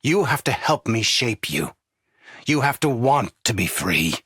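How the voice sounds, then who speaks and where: An actor playing an intense, manipulative mentor, theatrical and condescending, with a husky voice, in dramatic villain voicelines. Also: male voice, medium pitch, sing-song, measured pace, fairly bright tone, no echo, studio quality, exaggerated voice. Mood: urgent, demanding. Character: intense, demanding male mentor, manipulative and passive-aggressive.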